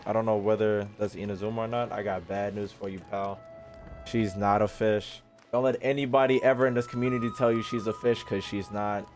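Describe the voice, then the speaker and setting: A male game streamer chats casually to his viewers. He is slangy, cocky and playful, speaking in a mid-pitched voice over game music. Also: a little mellow. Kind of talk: casual livestream chat with slangy reactions.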